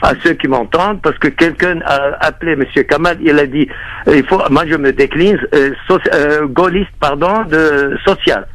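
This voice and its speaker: A man's voice: a little high, normal speed, fairly bright tone, no echo, rough recording. A male caller from Syria in a radio debate who keeps interrupting and agreeing eagerly. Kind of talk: call-in debate.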